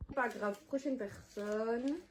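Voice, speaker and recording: A woman's voice: fairly high pitch, slow, a little mellow, a little hiss or hum. A teenage girl chatting casually and slangily as a video host, exclamatory and easily exasperated. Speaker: video host making prank calls. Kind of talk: casual slangy vlog chat.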